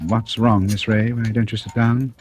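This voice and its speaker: A noisy vintage radio thriller recording carries a low, suave voice of a male actor. He is a cunning gentleman who speaks in measured, ironically polite phrases, mocking and coolly menacing throughout. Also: level tone, close to an everyday voice. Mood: cool, probing. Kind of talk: 1940s radio thriller acting.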